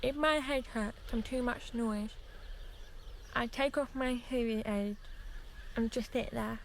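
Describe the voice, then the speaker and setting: A woman's voice: fairly high pitch, steady tone, measured pace, creaky, a little hiss or hum. An actress playing an earnest, probing deaf young woman in a radio drama. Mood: reflective. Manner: short, probing questions, plain and earnest.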